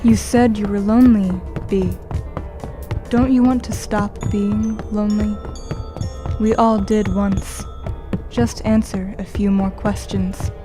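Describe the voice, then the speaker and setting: A woman plays an insinuating female game guide in an audio drama. She narrates slowly and calmly in a medium pitch, coaxing the listener, with music underneath. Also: close to an everyday voice. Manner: measured, coaxing. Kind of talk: scripted second-person narration in audio drama.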